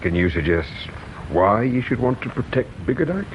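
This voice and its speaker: An actor playing a courteous, probing English police inspector in a radio mystery drama, measured and dryly insistent. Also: male voice, very animated, natural voice. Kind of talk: British radio drama acting. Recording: dull radio recording with low rumble noise.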